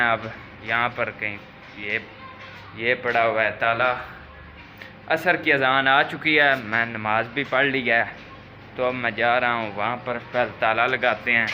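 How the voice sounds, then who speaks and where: A man's voice: medium pitch, noisy background. A man with a slightly sore throat chatting to viewers as he walks through his village to a shop. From Pakistan. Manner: chatty, addresses viewers directly. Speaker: vlogger. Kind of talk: casual vlog walking through a village. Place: outdoors in a village lane.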